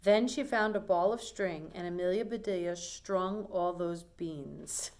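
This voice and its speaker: A woman's voice: lowish voice, measured pace, a little mellow. A woman reading a storybook aloud to children, explaining it in simple asides as she goes. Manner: simple explanatory phrasing, addressing young listeners.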